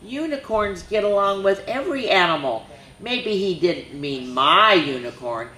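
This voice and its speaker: Bright-voiced woman reading a storybook. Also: medium pitch, animated, measured pace, roomy sound. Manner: sing-song rhyming read-aloud with chatty asides. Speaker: children's storyteller. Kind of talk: reading a picture book aloud to children.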